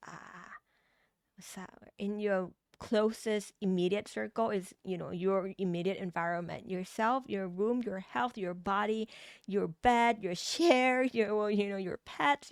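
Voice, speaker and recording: A woman's voice: mid-range voice, smooth, dry recording, studio quality. A woman with a Thai accent, a tarot reader giving a meandering spiritual reading with many restarts and fillers. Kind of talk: pick-a-card tarot reading.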